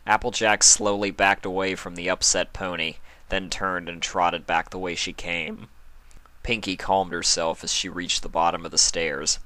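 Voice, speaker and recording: A man's voice: deep voice, fairly even intonation, moderate pace, sharp tone, no room sound. A man reading scripted story narration as a fan fiction reader.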